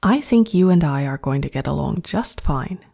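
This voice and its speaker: A woman's voice: deep voice, animated, moderate pace, gravelly, warm tone, exaggerated voice. A woman voicing a cheerful, bossy young woman who talks sweetly and patronizingly to her new pet. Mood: bright, condescending.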